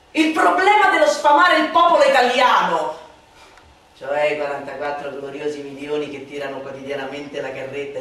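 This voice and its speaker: A woman performing a satirical literary monologue for radio theatre in a declamatory, sardonic manner, swinging between hushed and shouted delivery. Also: deep voice. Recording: very echoey room, distant mic, faint noise.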